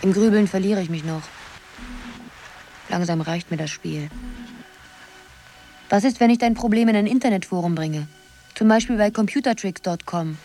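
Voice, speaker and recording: A woman's voice: medium pitch, normal speed, pauses often, dark tone, soft background music, close to an everyday voice. An actress playing a dreamy young woman absorbed in a computer game, musing half to herself in a radio drama. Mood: frustrated.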